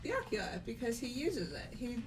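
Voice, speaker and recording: A woman's voice: a little high, big pitch swings, moderate pace. Female gamer, mid-range voice a bit far from the mic, chatting casually over noticeable game music. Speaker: video game player discussing a mystery with friends. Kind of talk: casual group chat.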